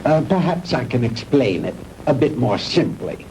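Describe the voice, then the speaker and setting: An actor playing a grandiose older Nazi scientist in a 1960s B-movie, lecturing pompously and deliberately. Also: male voice, medium pitch, very animated. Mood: smug lecturing. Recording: hissy old 1960s film soundtrack.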